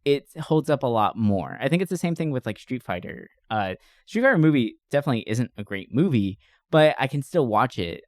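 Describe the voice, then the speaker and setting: A man's voice: medium pitch, no room sound, studio quality. A man co-hosting a podcast in an enthusiastic, informal chat, swearing casually. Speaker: podcast co-host. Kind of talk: casual chat about movies.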